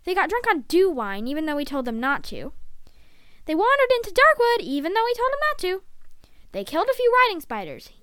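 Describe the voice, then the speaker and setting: Nagging dark elf mum, read by a woman, storybook. Scolding, emphatic, bright and very lively in pitch. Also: no echo, heavily acted.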